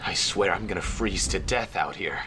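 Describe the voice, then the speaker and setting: A man acting as an easygoing soldier in a radio drama who likes to grumble. His voice sits low, with a loose, chatty delivery over faint background noise. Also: normal speed, lightly acted. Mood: grumbling. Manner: chatty, complaining.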